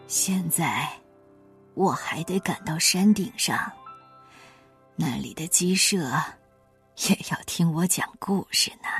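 A woman voicing a weary old grandfather storyteller in a cartoon dub, speaking slowly in a breathy, whispery way. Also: on the low side, fairly bright tone, far from the mic, faint music behind, pitched below the performer's own voice, heavily acted.

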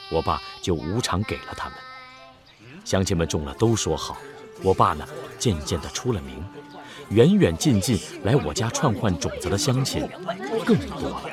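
An actress playing a farmer's grown child, narrating a radio drama in a fond, reflective, measured way. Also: female voice, rumbling low. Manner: measured, emotive storytelling.

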